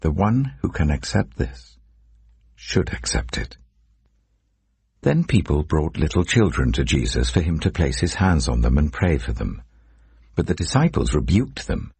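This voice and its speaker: A man reading scripture as a narrator in a solemn, measured, dramatic storytelling delivery. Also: extremely low pitch, sing-song, darkish tone, slightly rough, dry recording. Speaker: Bible narrator.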